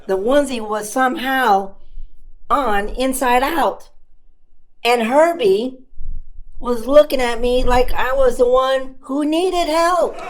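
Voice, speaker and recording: A woman voicing a cheeky, self-amused puppet comedian who tells stand-up stories with dramatic pauses and exaggerated emphasis. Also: high voice, low-quality recording. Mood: mock horrified. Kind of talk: family-friendly stand-up comedy storytelling.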